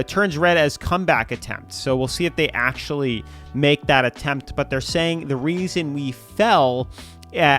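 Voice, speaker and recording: A man's voice: a little high, fairly bright tone. A man hosting a crypto channel, talking through market charts in casual commentary, a little congested from a cold, chatty and repetitive. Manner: chatty, repeats filler "like", pushes viewers to subscribe.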